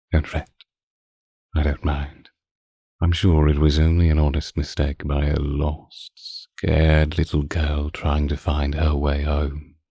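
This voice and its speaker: An extremely low-voiced, ancient vampire count played by a man speaks in an unhurried, courtly way. His tone is dark and velvety, teasing and condescending as he toys with his prey. The roleplay audio is close and dry. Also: dry recording, heavily acted. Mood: condescending, sly. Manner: formal, archaic, teasing and condescending. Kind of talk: slow intimate roleplay audio monologue.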